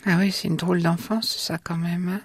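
A woman interviewer asking gentle questions, with some fillers as she prompts. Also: lowish voice, fairly even intonation, moderate pace, breathy edge, dry recording.

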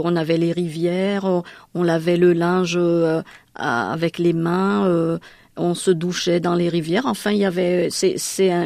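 A woman's voice: fairly low pitch, gravelly, a little mellow, no room sound, studio quality. A woman from Madagascar whose first language is Malagasy, telling her life story in an interview, hesitant, with many fillers and restarts.

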